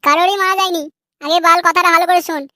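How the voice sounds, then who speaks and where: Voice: very high-pitched, steady tone, rapid-fire, bright, forceful tone, no echo, clean studio sound. A performer voicing a quarrelsome, mocking cartoon character in a Bengali comedy dub, with crude scolding delivery. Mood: teasing.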